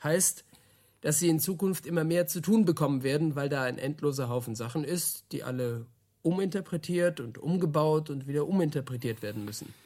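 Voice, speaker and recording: A man's voice: on the high side, brisk, a little mellow, close to an everyday voice. An actor playing a curious male youth-radio interviewer, talking colloquially and a little hesitantly in a naturalistic radio drama.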